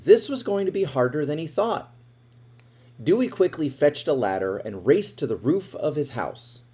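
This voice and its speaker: A man reading a children's picture book aloud in an expressive way, with dramatic emphasis. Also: a little high, normal speed, husky. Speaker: storybook reader.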